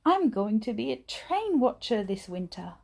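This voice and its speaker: A woman's voice: fairly high pitch, sing-song, unhurried, vocal fry, darkish tone, a little hiss or hum, pitched above the performer's own voice, heavily acted. A woman voicing a spirited, stubborn old grandmother in a children's storybook, with emphatic delivery. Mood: excited, defiant. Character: spirited, stubborn old grandmother who loves her fireside.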